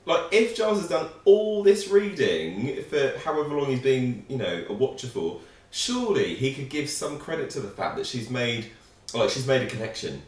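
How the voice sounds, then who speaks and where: A man's voice: mid-range voice, normal speed. A man co-hosting a reaction show, talking casually and with frustration about an episode. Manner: animated, frustrated critic. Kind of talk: casual chat reviewing an episode. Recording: echoey room, distant mic.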